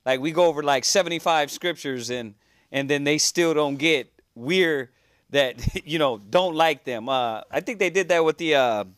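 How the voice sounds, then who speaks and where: Guy preaching a bible class, kinda high voice, dry close sound, repeats himself a lot. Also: fairly bright tone, dry recording. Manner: earnest, repetitive, drawn-out preaching. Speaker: religious teacher. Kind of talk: preaching class on a cable show.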